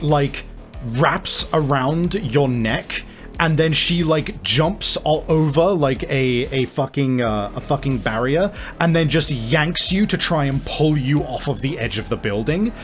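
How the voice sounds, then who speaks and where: A man improvising narration as a game master, halting as he searches for words and swearing casually. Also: a little high. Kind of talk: improvised narration of a tabletop role-playing game.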